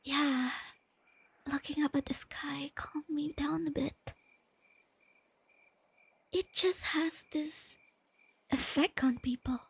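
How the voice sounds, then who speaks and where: A woman playing a shy, nerdy, tender young girlfriend in a soft-spoken ASMR roleplay, with a breathy near-whisper and hesitant, gently stammering delivery, crickets chirping behind her. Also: sits high, fairly even intonation, slow pace, pauses often, full character voice. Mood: dreamy, calm. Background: crickets and night insects.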